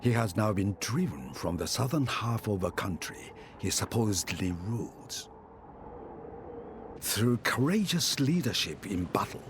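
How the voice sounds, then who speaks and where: An actor playing a grave war chronicler of feudal Japan, narrating game cinematics in a solemn, measured, theatrical way. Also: male voice, mid-range voice. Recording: loud music under narration. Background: cinematic music.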